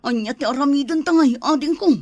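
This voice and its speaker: A woman's voice: sits high, quick pace, a little mellow, dry recording, lo-fi sound, lightly acted. An actress playing a worried elder sister fretting over her mother in a radio drama, with a Filipino accent. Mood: distressed, pleading.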